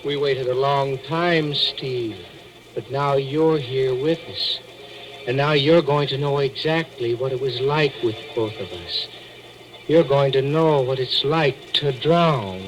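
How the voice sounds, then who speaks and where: Prominent music sits behind an actor as a vengeful male ghost on a vintage radio recording. He speaks very slowly and deliberately, taunting with calm menace in 1940s horror-drama style. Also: mid-range voice, natural voice, low-quality recording.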